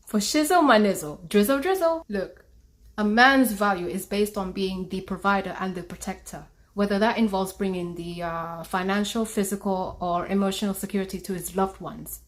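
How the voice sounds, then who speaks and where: A woman's voice: mid-range voice, moderate pace. Woman host with music behind her. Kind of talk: video commentary.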